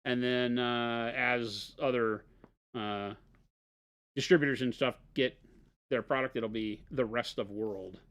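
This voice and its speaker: A man's voice: medium pitch, steady tone, takes it slowly, a bit bright, clean tone. Guy talking through his painting on a stream, says right a lot. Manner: explaining, fillers and restarts, says "right?". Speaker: co-host painting models on a livestream. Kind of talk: casual explanatory livestream talk.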